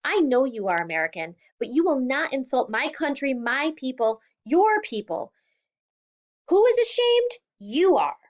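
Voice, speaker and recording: A woman's voice: sits high, moderate pace, few pauses, dull audio, voice pushed up, natural voice. A woman voicing a proud, strict Guatemalan father in an audiobook, her voice raised and insistent. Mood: angry. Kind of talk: audiobook reading.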